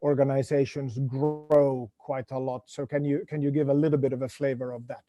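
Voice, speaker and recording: A man's voice: medium pitch, level tone, normal speed, mellow, no room sound, soft background music. A man moderating a panel on a video call, friendly, often repeating words as he goes.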